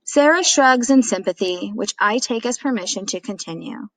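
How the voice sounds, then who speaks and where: A woman reads a story aloud in a teacherly way, medium in pitch and expressive. She steps out of the narration to explain things plainly. The sound is dry, with very little room. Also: moderate pace, no echo, rough recording.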